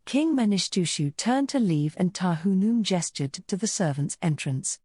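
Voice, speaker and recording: Female storyteller for visual novel narration, soft mellow tone, close dry studio sound. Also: fairly low pitch, lively intonation, moderate pace, husky, dry recording. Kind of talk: scripted dramatic storytelling narration.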